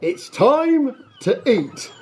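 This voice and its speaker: A man's voice: very high-pitched, very animated, drawn-out words, background noise, voice pushed up, exaggerated voice. A man playing a fussy, always-hungry king in an outdoor storybook performance, making grand, demanding pronouncements. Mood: kindly. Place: back garden outdoors.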